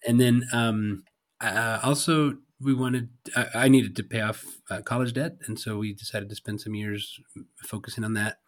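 A man in his forties who grew up in Spain, a missionary guest telling his story with many pauses and restarts. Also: lowish voice, steady tone. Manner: hesitant, many fillers and restarts. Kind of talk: casual interview.

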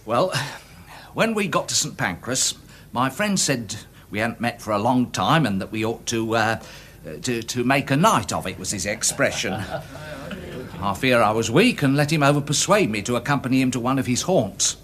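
A man's voice: mid-range voice, animated, normal speed, a bit bright, slight background noise, natural voice. An actor playing a respectable, embarrassed little Englishman giving evidence in a radio drama, prim, hesitant and stammering. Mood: sheepish, confessional.

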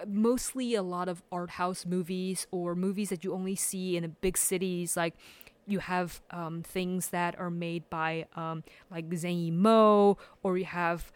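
A woman's voice: lowish voice, dry recording. A woman from Taiwan speaking as a podcast guest in a thoughtful, reflective way. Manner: thoughtful, reflective, with frequent fillers and restarts. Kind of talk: casual conversational podcast.

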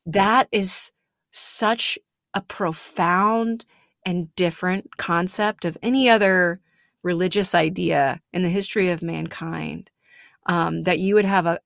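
Woman making her point w/ conviction, gravelly, dead dry mic. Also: fairly low pitch, fairly even intonation, slow pace, fairly bright tone, no room sound. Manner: earnest, emphatic, explaining. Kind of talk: casual faith conversation.

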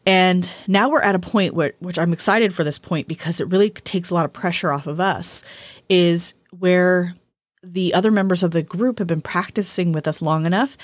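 A woman's voice: on the low side, dry recording. A woman co-hosting a casual podcast chat, talking freely, laughing often and repeating words as she gathers her thoughts. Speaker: podcast co-host.

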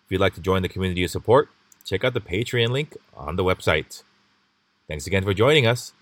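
A man hosting a podcast, reading scripted intros and asking interview questions in a polite, measured way. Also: deep voice, expressive, fairly bright tone, no room sound. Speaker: podcast host.

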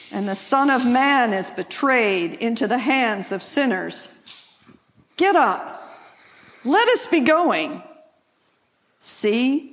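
A woman reading the part of a grave, solemn Jesus in a Passion reading, with measured declamation. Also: fairly high pitch, a bit bright, full character voice. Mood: reproachful. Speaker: scripture reader, church member. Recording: echoey church hall, long reverb.

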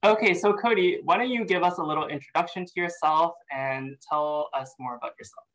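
A man's voice: a little high, fairly quick, a bit bright, poor audio. Upbeat man hosting a podcast. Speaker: podcast host.

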